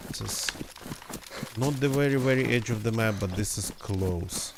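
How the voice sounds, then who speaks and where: Slow, slightly nasal man streaming. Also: on the low side, noisy background. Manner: rambling, self-correcting. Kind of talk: casual gameplay commentary.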